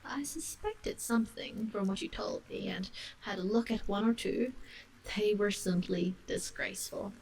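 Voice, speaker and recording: A woman's voice: mid-range voice, wildly swooping pitch, unhurried, gravelly, a little mellow, recorded from a distance, exaggerated voice, rough recording. A woman voicing a firm but kind-hearted male water rat, earnest and reasoning, in a storybook. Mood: regretful, earnest.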